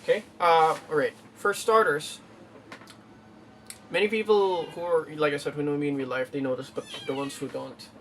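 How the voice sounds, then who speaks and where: A man's voice: a little high, steady tone, moderate pace, a bit bright. A young man from the Philippines, vlogging casually in a rambling way, with many fillers and restarts. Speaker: YouTuber. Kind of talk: casual vlog talk to camera. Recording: distant mic with faint room noise.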